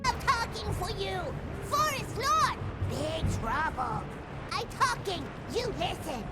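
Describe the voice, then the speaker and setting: Small game creature: very high, wildly swooping, blunt broken phrasing, loud music underneath. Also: very animated, slow, heavily acted. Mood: urgent. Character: small excitable forest creature speaking broken speech. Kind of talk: video game character acting.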